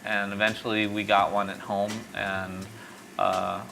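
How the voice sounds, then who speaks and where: A man's voice: lowish voice, monotone, unhurried, creaky, background noise. A middle-aged man from East Texas telling stories reflectively in a conversational interview. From East Texas, born in Mexico. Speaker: tech company founder, interview guest.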